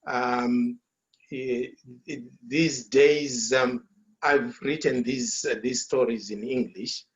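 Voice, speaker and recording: Shona-speaking man from zimbabwe, lots of uh and um, middle pitch, muffled call audio. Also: fairly even intonation. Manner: halting, many fillers and repeated words. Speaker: author. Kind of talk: speaking at an online launch. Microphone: video call.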